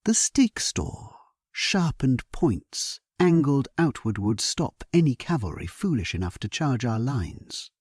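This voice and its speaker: Sleep-story narrator: male actor as resolute, solemn king, low and breathy, unhurried, very dry close sound. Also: sing-song, a little mellow, dry recording, heavily acted. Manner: solemn, measured, dramatic delivery. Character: grave, resolute English king at war, narrating his memoir. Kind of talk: scripted first-person sleep-story narration.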